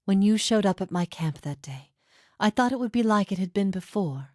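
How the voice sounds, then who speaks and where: A woman voicing a grave, forgiving heretic teacher in a fantasy game, speaking in a solemn, measured, reflective way. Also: medium pitch, animated, a little mellow, no room sound, studio quality, close to an everyday voice. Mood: regretful. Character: grave, forgiving female heretic teacher, long imprisoned, philosophical. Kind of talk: scripted fantasy game dialogue.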